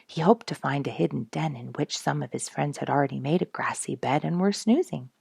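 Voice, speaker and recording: Woman narrating a kids storybook, low creaky voice, really close dry sound. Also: fairly quick, darkish tone, no room sound. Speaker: storybook reader and host. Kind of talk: scripted children's story narration.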